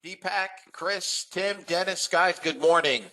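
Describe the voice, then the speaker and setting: A man's voice: high voice, measured pace, sharp tone. A man hosting a live trading webinar, sounding nasal from a head cold and talking in a rambling way with lots of restarts. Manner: rambling, frequent uh fillers and restarts. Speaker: trading room host.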